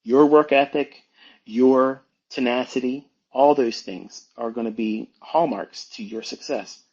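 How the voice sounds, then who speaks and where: Husky guy doing an off the cuff lecture, blunt and preachy, kinda muffled lo-fi audio. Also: mid-range voice, unhurried, a little mellow. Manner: emphatic, blunt, admonishing, repeats phrases for stress. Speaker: trading educator hosting an online audio talk. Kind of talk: informal unscripted monologue, lecturing.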